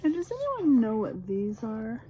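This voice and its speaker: Unhurried, animated woman reading to children. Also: on the high side, a little hiss or hum. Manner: teacherly, prompting listeners with questions. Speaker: read-aloud storyteller for children. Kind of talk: expressive picture-book read-aloud with questions to children.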